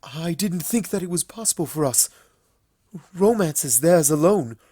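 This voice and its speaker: A proud young mare princess from a storybook , put on by a male reader. The voice sits high and sounds formal, easily flustered and ready to bristle. It is recorded dry and close. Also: expressive, normal speed, dark tone, no room sound. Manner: formal, indignant, questioning. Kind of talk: fan fiction story reading.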